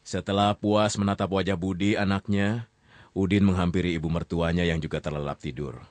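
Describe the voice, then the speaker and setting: A man narrating a radio story in a calm, measured way, with casual asides to the listener. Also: deep voice, dry recording.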